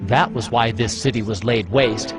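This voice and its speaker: A man's voice: mid-range voice, normal speed, close to an everyday voice. An actor playing an accusing provincial official addressing his king in a formal, declamatory dramatized scripture reading. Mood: urgent, accusing. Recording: music behind the voice.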